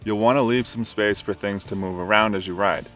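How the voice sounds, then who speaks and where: A man's voice: on the low side, fairly even intonation, brisk, a bit bright, faint music behind. Man vlogging how-to tips outdoors. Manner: friendly, direct how-to delivery. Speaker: motorcycle camping vlogger. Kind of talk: scripted tips vlog. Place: outdoors at a forest campsite. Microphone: camera held at arm's length.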